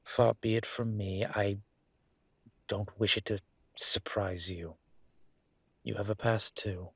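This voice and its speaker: Casting: male actor for ancient demon teacher, intimate roleplay audio. Deep, husky tone, courteous, measured and a little hesitant, with a teasing streak. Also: steady tone, fairly bright tone, no echo, full character voice. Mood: reassuring, earnest. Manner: measured, hesitant, formal wording. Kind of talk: intimate one-sided audio roleplay acting.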